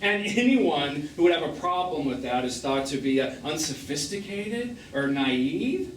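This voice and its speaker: A man's voice: a little high, very animated, a little slow. Echoey, distant actor as wounded middle-aged man. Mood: indignant. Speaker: stage actor. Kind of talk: live stage drama acting. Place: small theatre, recorded from a distance. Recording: echoey, noisy stage performance recorded from afar.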